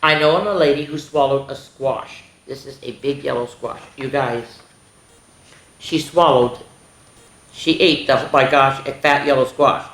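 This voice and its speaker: A woman hosting a children's story time, reading a picture book aloud expressively, with exclamations and asides to the young listeners. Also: very deep voice. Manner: expressive, exclaiming, pausing to comment and ask the listeners. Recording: echoey room.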